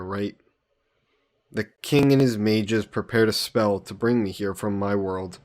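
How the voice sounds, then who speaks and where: A man's voice: on the low side, a little mellow. A young man playing an easygoing, teasing fantasy hero from Earth in a roleplay audio, chatting fondly to the listener. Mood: reflective, casual. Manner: unhurried, chatty, playfully teasing.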